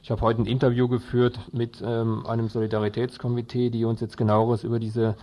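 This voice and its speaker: A man's voice: mid-range voice, completely flat delivery, a little fast, mellow. A man giving a radio news report in a hesitant manner, searching for his words.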